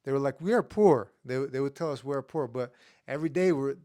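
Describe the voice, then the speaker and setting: A young man born in Estonia whose first language is Armenian, casually telling stories in an interview with a relaxed, filler-heavy manner. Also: medium pitch, brisk. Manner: relaxed, many fillers, acts out his younger self with rising excitement. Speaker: guest, MMA fighter.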